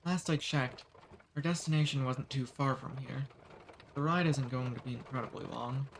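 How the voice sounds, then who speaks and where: Man playing a polite centuries-old demon, calm and gently teasing, mid-range voice, faint birdsong behind. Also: mid-range voice, normal speed, creaky, a little hiss or hum, lightly acted. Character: cordial, centuries-old male demon escort. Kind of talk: ASMR audio roleplay.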